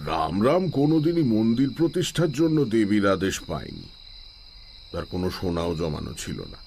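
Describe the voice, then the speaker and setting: A learned village holy man in a radio drama, his voice low and unhurried in manner. He is calm and shrewd, weighing each thought like a teacher. Night insects sound steadily behind him. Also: gravelly, a little hiss or hum. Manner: measured, philosophical, chanting Sanskrit verses slowly. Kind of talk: Bengali audio-drama acting.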